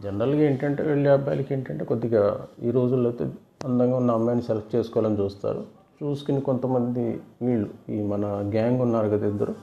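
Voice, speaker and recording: Guy chatting to viewers, mellow creaky voice, repeats himself, echoey lo-fi room. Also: mid-range voice, quick pace. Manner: repeats and rephrases points, addresses viewers as friends. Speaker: video host giving fraud awareness advice. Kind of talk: casual explanatory storytelling to viewers. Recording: roomy, dull lo-fi sound.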